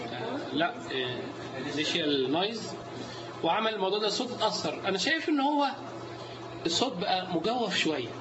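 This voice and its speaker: A man with an Egyptian Arabic accent teaching a live class. He keeps quizzing his students as he goes. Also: sits high. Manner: lively, quizzes the students often. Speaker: instructor. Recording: dull lo-fi recording with steady noise.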